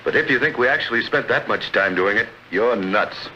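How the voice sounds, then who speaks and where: Folksy pilot in a droning old film. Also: male voice, fairly quick. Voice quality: folksy, matter-of-fact. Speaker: pilot instructor, narrator. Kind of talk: 1940s training film narration. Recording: muffled old film soundtrack with loud engine noise. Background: aircraft engine rumble.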